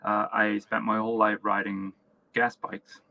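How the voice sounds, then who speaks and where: A man's voice: fairly low pitch, flat delivery, creaky, bright, forceful tone, rough recording. A man from Vietnam and upstate New York giving conversational interview answers as a company founder, restarting often and checking in with "right?". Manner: halting, repeats words, often checks in with "right?". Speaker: company founder and chief executive, interview guest.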